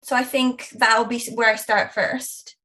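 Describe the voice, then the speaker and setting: A young woman whose voice sits a little high. She talks about books in a gushing, chatty way, peppering her sentences with "like". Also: little pitch movement, unhurried, pushed and bright. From Wales. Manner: gushing, lots of "like" fillers. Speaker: booktuber co-host. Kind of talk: casual book chat.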